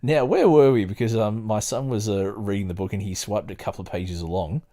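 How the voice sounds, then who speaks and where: A man with a fairly deep voice hosts a podcast. He talks casually in a hesitant, wandering way, and also reads passages aloud. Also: dark tone. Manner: hesitant, halting, digressive when talking. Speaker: podcast host. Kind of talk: casual chat and reading aloud.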